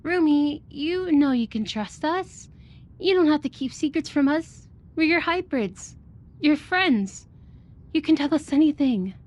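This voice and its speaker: A woman's voice: sits high, lively intonation, a little slow, darkish tone. A woman playing a sweet, devoted but sly animal hybrid roommate in an ASMR roleplay, with a sugary, coaxing manner. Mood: sweet, caring. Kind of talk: ASMR audio roleplay acting.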